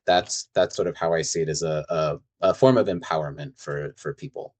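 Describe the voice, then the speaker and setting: A man's voice: extremely low pitch, steady tone, normal speed, darkish tone. A man with an American accent speaking as a co-host and newsletter writer, explaining things in a plain-spoken, conversational way. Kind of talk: explanatory interview talk.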